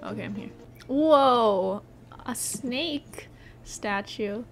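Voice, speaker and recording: A young woman chatting excitedly with friends while playing a game, often gasping and laughing. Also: fairly high pitch, drawn-out words, slight background noise. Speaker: player. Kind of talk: casual gaming chat. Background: game music.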